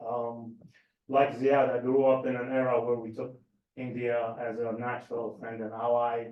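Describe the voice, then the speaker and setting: A man from the Gaza Strip speaking as a panel speaker, earnest and measured, with frequent ums. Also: mid-range voice, completely flat delivery. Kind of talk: panel talk. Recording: roomy, distant, dull sound.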